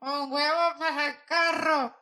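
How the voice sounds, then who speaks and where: A woman playing an excitable, vain and eager laying hen in a children's audio story. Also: high voice, unhurried. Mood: proud, eager.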